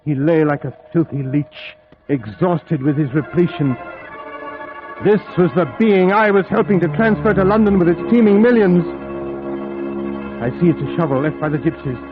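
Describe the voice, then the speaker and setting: Young male captive, played by a male actor, high-voiced, haunted and melodramatic, vintage radio horror, music underneath. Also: natural voice. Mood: horrified. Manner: melodramatic, breathless dread, dramatic pauses. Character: young Englishman held captive, terrified, desperate, haunted. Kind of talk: old-time radio horror play acting. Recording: muffled old radio recording, music behind.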